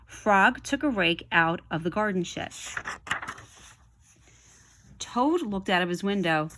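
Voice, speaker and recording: A woman reading a children's story aloud with expressive narration. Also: medium pitch, takes it slowly, background noise. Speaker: storybook reader.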